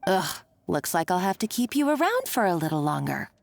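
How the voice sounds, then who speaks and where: A woman's voice: fairly low pitch, very animated, brisk, vocal fry. An actress playing a gloating, scheming female villain in a video game, theatrical and sardonic, taunting as she talks. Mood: menacing. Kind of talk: dramatic video game voice acting. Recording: game dialogue over background music.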